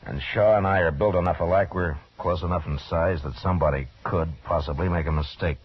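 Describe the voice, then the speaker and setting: Very deep-voiced weary frontier lawman. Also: slightly rough. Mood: measured, wry. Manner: terse, deliberate, understated. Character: grim, weary middle-aged frontier lawman, steady and wry. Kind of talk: 1950s western radio drama acting with first-person narration. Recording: dull vintage radio recording with music.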